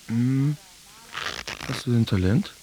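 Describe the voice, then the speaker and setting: A man's voice: medium pitch, slow, mellow, slight background noise, lightly acted, rough recording. An actor playing an awkward, sceptical young man who retells a quarrel hesitantly and colloquially in a radio drama. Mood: awkward, baffled. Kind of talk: radio drama monologue and dialogue.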